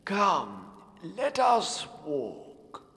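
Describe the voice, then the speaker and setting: An actor playing an old high priest in a fantasy audio drama, speaking in a measured, ponderous, formal way. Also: male voice, huge pitch swings, gravelly, a bit bright, heavily acted. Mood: courteous, grave. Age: older. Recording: long cathedral-like reverb.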